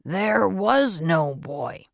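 A woman voicing a shy, timid older farmer in a storybook reading, speaking quietly and hesitantly. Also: medium pitch, lively intonation, drawn-out words, gravelly, no room sound, studio quality, heavily acted.

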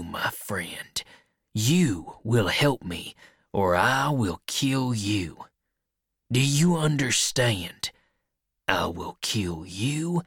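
A reader voicing a vengeful male outlaw in a storybook, speaking quietly with dark, threatening menace. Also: husky, no room sound, clean studio sound, heavily acted. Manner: low, hushed, dark menace.

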